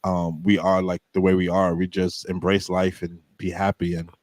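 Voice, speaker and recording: A middle-aged man hosts a casual podcast in a very deep, low voice. He rambles in a relaxed way and restarts his sentences often. The sound is dry and close. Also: moderate pace, dry recording. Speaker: podcast host.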